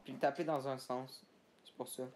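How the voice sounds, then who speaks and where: A man's voice: medium pitch, fairly even intonation, normal speed. A man hosting a casual gameplay chat, stammering and repeating filler words in long runs. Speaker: gaming channel host. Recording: background noise.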